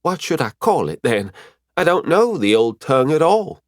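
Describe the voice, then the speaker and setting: Man playing an eager, high-voiced young dungeon core. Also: very animated, normal speed, no room sound, clean studio sound. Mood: puzzled. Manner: eager, questioning. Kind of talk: audiobook character voice.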